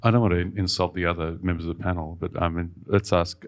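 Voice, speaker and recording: A man chairs a panel discussion, handing the floor to the speakers and wrapping up. His voice is very deep, and he is genial, tripping over repeated words as he talks. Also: flat delivery, quick pace. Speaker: panel moderator.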